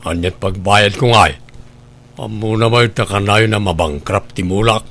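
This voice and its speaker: A man plays a husband buried in debt, his voice on the low side. He grumbles and reproaches, worn down by money troubles. The delivery is plain, as in a spoken audio drama. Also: unhurried, a bit bright. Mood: worried. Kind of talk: Ilocano audio drama acting.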